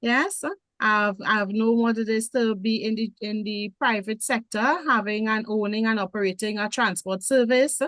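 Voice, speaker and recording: A woman's voice: medium pitch, steady tone, normal speed, fairly bright tone, no room sound. A woman in her forties with a Trinidadian accent, a nurse introducing herself as a panel guest in a rambling way with many fillers. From Trinidad and Tobago.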